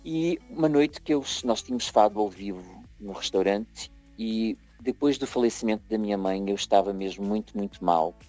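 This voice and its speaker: Brazilian male guest, low warm voice, halting delivery, faint music bed. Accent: Brazilian Portuguese, partly picked up in Portugal. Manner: hesitant, many fillers and restarts. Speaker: interview guest, association leader and fado singer. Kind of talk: broadcast interview conversation.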